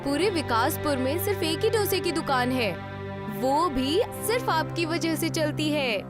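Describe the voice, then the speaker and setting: Supportive, practical village wife for a cartoon tale, played by a woman: high voice, encouraging delivery, loud music behind. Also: lively intonation, unhurried. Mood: reassuring. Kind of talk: animated moral story dialogue in Hindi. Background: loud background music.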